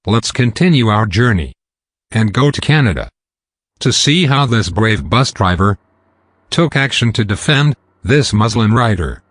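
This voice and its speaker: A man narrates in a low voice with lively rises and falls, measured and earnest. The sound is close and dry. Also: no room sound. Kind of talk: documentary voice-over narration.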